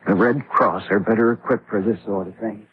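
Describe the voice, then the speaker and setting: An actor playing a stern, practical doctor with a measured, authoritative manner in an old radio drama. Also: male voice, lowish voice, steady tone, close to an everyday voice. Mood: grave concern. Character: stern, practical male doctor at a remote outpost. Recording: dull, faintly noisy old radio recording.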